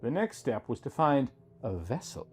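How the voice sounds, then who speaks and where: A man's voice: medium pitch, very animated, moderate pace, darkish tone, husky. A man reading a scripted first-person story as its narrator, with music behind him.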